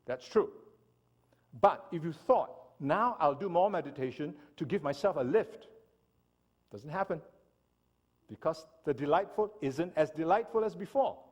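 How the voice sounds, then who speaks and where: A man from Singapore delivers an animated church seminar talk, often turning to his listeners with "right?". His voice sits a little high and is husky, and it swings up and down freely. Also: a little slow, pauses often, muffled recording. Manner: animated lecturing, asks audience "right?". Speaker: speaker.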